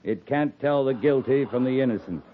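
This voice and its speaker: A man's voice: medium pitch, normal speed, a little hiss or hum, natural voice. Muffled, stern cavalry captain acted by a man. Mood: stern, challenging. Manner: commanding, clipped, sternly challenging. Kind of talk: 1950s radio western acting. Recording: muffled lo-fi 1950s radio recording.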